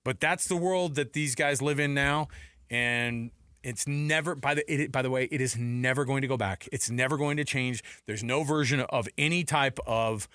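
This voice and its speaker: A middle-aged man delivers opinionated sports radio talk in a close, dry sound. His pitch sits in the middle, and he drives points home with repetition and rhetorical questions. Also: fairly bright tone, dry recording.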